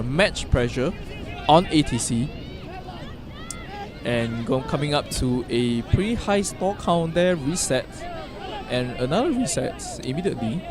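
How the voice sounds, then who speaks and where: Slow-paced, excitable male sports commentator. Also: medium pitch. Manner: excitable, with sudden loud exclamations. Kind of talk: live play-by-play commentary. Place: outdoor sports field.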